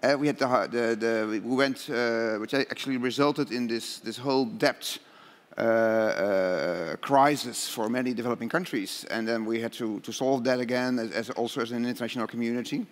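Middle aged guy on a panel, mid pitch, keeps restarting, hall echo. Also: moderate pace. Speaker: conference panelist. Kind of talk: off-the-cuff panel answer. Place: large conference hall stage. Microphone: headset. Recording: long hall reverb from auditorium sound system.

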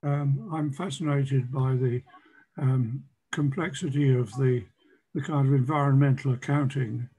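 A man's voice: medium pitch, steady tone, a little slow, dark tone, husky, low-quality recording. An older man hesitantly asking a question on a video call, searching for his words. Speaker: audience member. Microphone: webcam on a video call.

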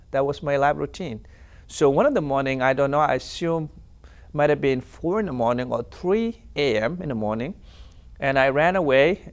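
A young man from South Sudan whose first language is Dinka, giving earnest personal testimony as a TV interview guest, halting with many fillers, recorded on a lapel mic in a studio. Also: a little high. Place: TV studio set.

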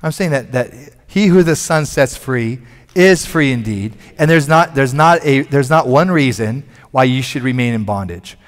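A man in his forties, a pastor preaching a sermon from a church stage on a headset mic, animated and conversational, with frequent restarts. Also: medium pitch, normal speed. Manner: animated, moving about the stage and gesturing.

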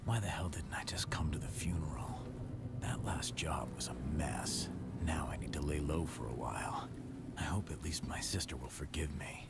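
An actor playing a hard-edged estranged brother lying low, curt and defensive, swearing when pushed. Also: male voice, moderate pace, vocal fry, whispered. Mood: brooding. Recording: loud music under the dialogue.

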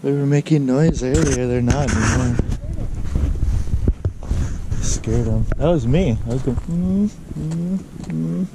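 A man vlogging outdoors by a river, talking casually into a handheld camera and getting excited over wildlife. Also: medium pitch, slow pace, creaky, pauses often. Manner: excitable, easily thrilled by wildlife. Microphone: handheld action camera at arm's length. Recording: outdoor recording with loud background noise.

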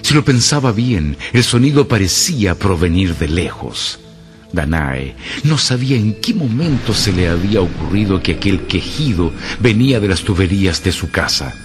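A man's voice: sits low, sing-song, moderate pace. A man narrating a radio horror mystery tale as a grave storyteller. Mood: grave, suspenseful. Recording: music behind the voice.

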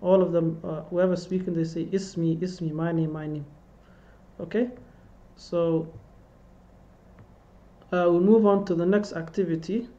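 A man's voice: fairly high pitch, fairly even intonation, moderate pace, pauses often, mellow. Patient male teacher over a dull webcam. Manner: patient, repeats phrases for students to echo. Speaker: Arabic teacher. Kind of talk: online language lesson. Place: indoor room.

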